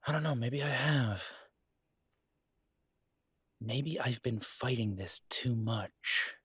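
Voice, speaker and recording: A man playing a conflicted, protective werewolf pack leader in an intimate audio roleplay, speaking hesitantly and reflectively with halting pauses. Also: medium pitch, a bit bright, slightly rough, dry recording, studio quality, exaggerated voice.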